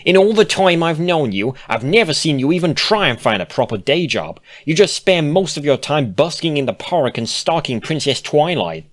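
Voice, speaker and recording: A man's voice: on the high side, very animated, moderate pace, hardly any pauses, pitched above the performer's own voice. Man voicing an earnest, raised-voice female cartoon pony. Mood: exasperated. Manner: emphatic, pressing, coaxing. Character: earnest, nosy, pleading female cartoon pony, worried partner.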